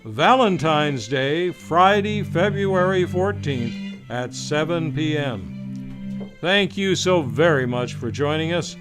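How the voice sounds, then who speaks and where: A man's voice: on the high side, expressive, slow, over music. A man hosting an old-time radio hour, reading scripted announcements in a formal, theatrical showman's delivery. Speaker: radio show host and announcer. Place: radio studio.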